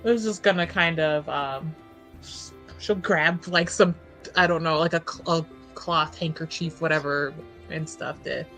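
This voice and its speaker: A woman casually improvising her character's actions as a tabletop player, informal and halting. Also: sits low, a bit bright, soft background music.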